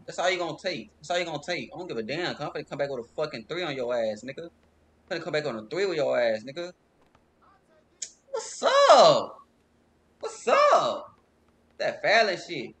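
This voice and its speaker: A young man from Texas commentates his own basketball video game live, swearing and trash-talking the other players. His voice sits a little high and swings widely in pitch, bright and forceful. He talks into a headset in a room at home. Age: young adult. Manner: loud, profane trash talk at the game. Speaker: streamer.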